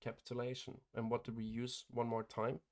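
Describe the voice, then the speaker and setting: A man running a live day-trading stream, giving matter-of-fact commentary, hoarse as he starts to lose his voice, and clearing his throat often. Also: mid-range voice, monotone, fairly quick. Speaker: day trader hosting a livestream.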